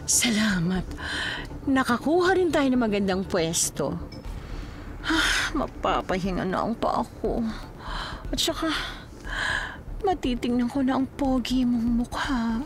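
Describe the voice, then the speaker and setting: Woman acting high-pitched, teasing, flirty mistress. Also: animated, slight background noise. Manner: coy, drawn-out, giggly teasing. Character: flirtatious, teasing, bossy mistress toying with a policeman. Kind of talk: Filipino radio-style drama acting.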